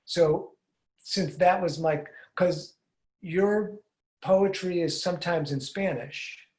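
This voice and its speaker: An older man hosting a podcast, asking curious, rambling questions that often trail off, speaking into a studio mic on a boom arm while wearing headphones. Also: takes it slowly, a little mellow. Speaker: podcast host. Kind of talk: casual conversational interview. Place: room at home with blinds, indoors. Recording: roomy echoey sound.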